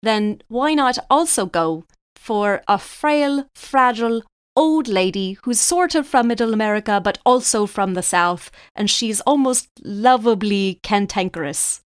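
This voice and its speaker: Woman playing a clear-voiced chatty Dublin woman. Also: fairly high pitch, animated, a little slow, no echo, studio quality. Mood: eager, matter-of-fact. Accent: Dublin Irish. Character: chatty Irish woman from Dublin's south side, proudly local. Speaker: guest. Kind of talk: improvised comedy podcast interview.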